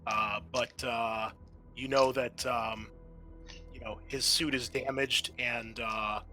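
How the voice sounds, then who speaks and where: A man's voice: medium pitch, flat delivery, slow pace, sharp tone, soft background music. A man narrating a tabletop roleplaying game as game master in a hesitant, conversational way.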